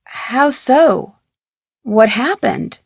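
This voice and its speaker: A woman's voice: a little high, animated, drawn-out words, vocal fry, breathy edge, no echo, studio quality, heavily acted. A woman playing an inquisitive, polite teenage girl detective in an adventure game, with earnest scripted delivery. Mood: concerned.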